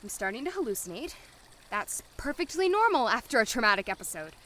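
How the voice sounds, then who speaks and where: High, bright, rattled woman doctor, acted. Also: sing-song, a little fast, a little hiss or hum. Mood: rattled denial. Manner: flustered, sarcastic, argumentative. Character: rattled, skeptical, sharp-tongued female doctor. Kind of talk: audio drama acting.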